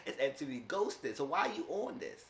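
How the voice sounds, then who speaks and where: Animated man recapping a show, roomy echo. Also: brisk. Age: 30s. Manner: animated storytelling, acting out quoted people with big pitch jumps. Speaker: TV show reviewer. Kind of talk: casual recap commentary.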